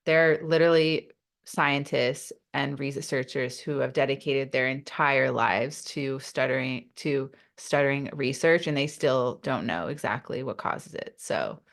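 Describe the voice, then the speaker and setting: A woman's voice: extremely low pitch, a little slow. A woman who stutters, guest on a podcast, talking openly and reflectively with many fillers and repeated sounds. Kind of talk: casual interview talk.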